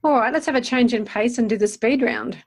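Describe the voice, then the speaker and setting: A woman's voice: fairly high pitch, brisk, gravelly. A woman hosting a casual interview in an encouraging, affirming way.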